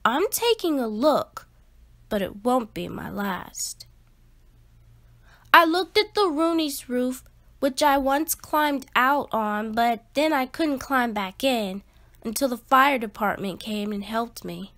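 Slow, high-voiced woman reading a storybook. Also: expressive, fairly bright tone. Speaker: read-aloud storyteller. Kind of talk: expressive children's picture book read-aloud.

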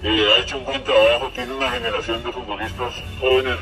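A man's voice: mid-range voice, steady tone, brisk, music in the background. A man discussing soccer on a sports radio show in a conversational, agreeable way. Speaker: sports journalist.

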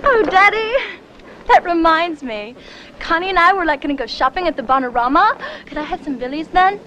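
A spoiled young Valley Girl daughter wheedles in a high voice with a thick Valley Girl accent. It is 1980s film comedy on a noisy soundtrack. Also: animated, brisk, sharp tone, exaggerated voice. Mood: wheedling.

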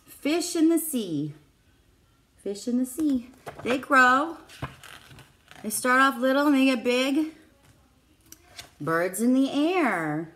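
A woman's voice: high-pitched, fairly bright tone. A middle-aged woman, a preschool teacher, reading a picture book aloud to young children in simple phrases. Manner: simple child-directed phrasing with questions to listeners. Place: small classroom, indoors. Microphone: phone or tablet at arm's length.

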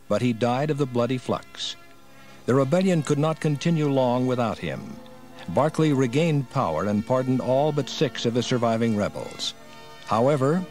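A man's voice: medium pitch, a little mellow. A man reading scripted historical narration as the narrator of an audio drama.

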